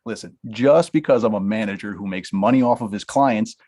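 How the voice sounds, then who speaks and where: A man's voice: fairly low pitch, brisk, warm tone, close to an everyday voice. A man reading the part of a blunt, money-minded talent manager in a comedy table read. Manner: brusque, dismissive, laughs through lines.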